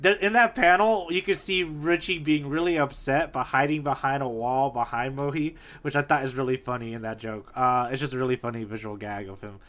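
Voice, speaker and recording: A man's voice: medium pitch, fairly even intonation, a little fast, hardly any pauses, a bit bright. A man co-hosting a podcast in casual, slangy, jokey banter. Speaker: podcast co-host.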